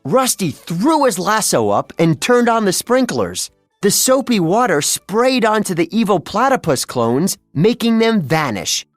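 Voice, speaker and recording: Children's storybook narration, expressive, unhurried, dry recording, faint music. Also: mid-range voice, dry recording. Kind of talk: scripted children's read-along narration.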